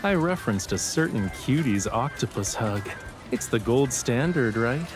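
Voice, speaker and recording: Man voicing a teasing, lively young boyfriend. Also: mid-range voice, measured pace, over music, natural voice. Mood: affectionate, playful. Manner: teasing, playful, smug. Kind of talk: romantic game audio drama acting.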